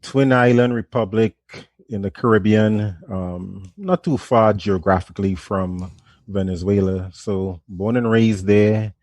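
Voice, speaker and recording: Soft-spoken Trinidadian professor, low voice. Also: male voice, measured pace, no room sound. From Trinidad and Tobago. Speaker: management professor, guest. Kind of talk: radio interview.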